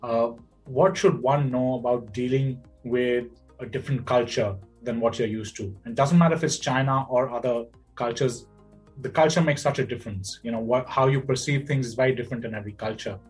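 A man's voice: mid-range voice, brisk, soft background music. A man hosting a podcast, asking long rambling questions and tagging them with "right?" and "you know". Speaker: podcast host. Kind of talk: casual interview conversation.

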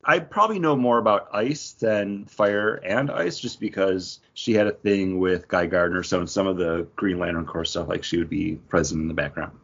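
A man's voice: low-pitched, moderate pace, hardly any pauses, slightly rough. A man hosting a podcast, chatting casually about comic books. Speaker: podcast host. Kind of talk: casual comic book discussion. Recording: dull, muffled lo-fi audio.

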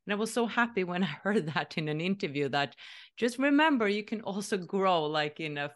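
A woman's voice: medium pitch, animated, fairly quick. A woman hosts a spiritual podcast interview, talking thoughtfully and easily. She laughs through her words often. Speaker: interview host. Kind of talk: casual interview talk.

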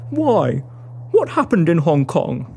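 A woman's voice: on the low side, big pitch swings, takes it slowly, vocal fry, warm tone, breathy edge, pitched below the performer's own voice, exaggerated voice. A woman voicing a timid, defeated male monster hunter, hesitant and pleading, in a comic period audio drama. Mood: despairing.

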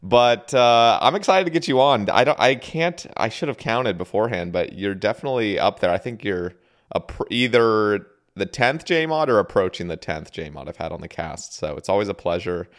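A man with a very low voice hosts a podcast. He sounds croaky, as if he just woke up. He chats loosely, full of fillers and restarts. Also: normal speed. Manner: relaxed, rambling with fillers and restarts. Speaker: podcast host. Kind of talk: casual chat.

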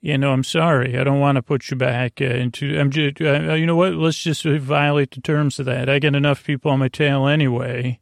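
Creaky-voiced man rambling a bedtime monologue. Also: mid-range voice, little pitch movement, no room sound, clean studio sound. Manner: halting, meandering, mumbly tangents. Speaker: podcast host. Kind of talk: rambling whimsical bedtime monologue to help listeners sleep.

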